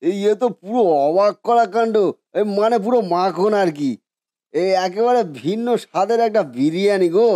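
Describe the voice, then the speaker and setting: A man acts out an excitable village customer in a cartoon story. The voice is high for a man and dryly recorded, with folksy, exclamatory, incredulous phrasing. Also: no room sound, clean studio sound, close to an everyday voice. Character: excitable male village customer, nosy and easily amazed. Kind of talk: Bengali cartoon story voice acting.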